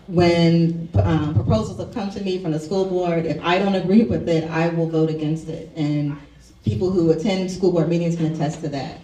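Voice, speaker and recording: An elected official answering as a candidate at a forum in an earnest, steady way. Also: medium pitch. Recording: echoey hall from far off.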